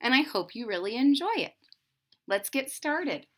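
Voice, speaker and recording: A woman's voice: sits high, big pitch swings, normal speed. A woman reading a children's picture book aloud expressively, with explanatory asides to her young listeners. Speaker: storybook reader.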